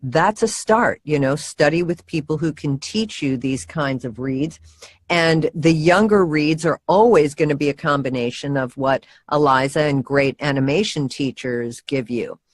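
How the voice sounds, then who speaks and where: A voice coach speaks in a medium-pitched voice, giving practical advice to students. The delivery is conversational and earnest, with emphasis through repetition. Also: normal speed.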